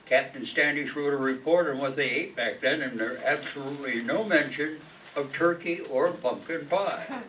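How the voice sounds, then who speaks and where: A man playing a know-it-all relative who speaks in a deliberate, informative way in a radio comedy play. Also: on the high side, sharp tone. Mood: matter-of-fact. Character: know-it-all male relative reciting history facts. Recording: noisy, distant stage microphone.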